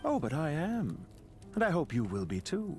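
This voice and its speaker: An actor playing a proud, composed, calculating magnate in a video game, with a dignified, deliberate and formal delivery. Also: male voice, fairly high pitch, wildly swooping pitch, a little mellow. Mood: calm farewell. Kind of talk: scripted video game voice acting. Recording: music under the voice.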